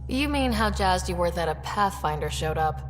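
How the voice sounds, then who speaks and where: A woman's voice: lowish voice, expressive, moderate pace, lightly acted. An actress playing a young, determined woman leading a space expedition in a video game, casual and encouraging. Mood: friendly, curious. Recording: music behind the voice.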